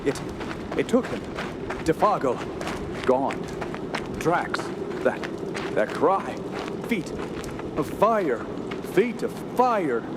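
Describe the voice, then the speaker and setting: An actor playing a haunted young wilderness survivor in a radio horror play, grave, solemn and theatrical. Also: male voice, on the high side, big pitch swings, full character voice. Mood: desperate, shaken. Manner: slow, grave, solemn, halting when distressed. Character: haunted young male wilderness survivor narrating his terror. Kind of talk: dramatic radio horror narration and acting. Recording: constant outdoor ambience noise behind voice.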